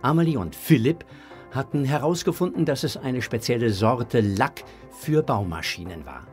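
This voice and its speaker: A man narrating a children's audio drama in a measured, expressive storytelling manner. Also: medium pitch, soft background music.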